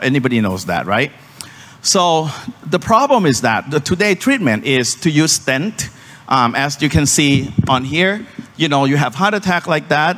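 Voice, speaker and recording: A man from Thailand, a Thai native speaker, giving a startup conference talk on stage into a handheld mic, halting and earnest, with many ums and restarts. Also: a little high, fairly bright tone. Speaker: startup founder presenting on stage. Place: conference venue hall. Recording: hall reverb from a live venue.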